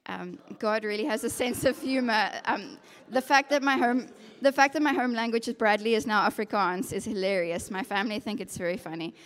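A young woman with a South African English accent tells her personal story to a congregation, earnest and reflective, often pausing on "um" and "yeah". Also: mid-range voice, a bit bright. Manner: earnest, reflective storytelling with frequent ums and yeahs. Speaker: occupational therapist giving a testimony. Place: church hall with a masked audience. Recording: long hall reverb.

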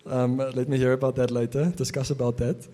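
A man's voice: medium pitch, level tone, a little fast, dark tone. A young adult man whose first language is Afrikaans, preaching a casual sermon as pastor, with many fillers and restarts.

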